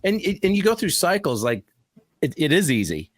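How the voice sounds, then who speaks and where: A man co-hosting a radio talk show who talks in an energetic, opinionated way about music. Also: on the high side, brisk, dry recording, clean studio sound. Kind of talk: casual radio chat.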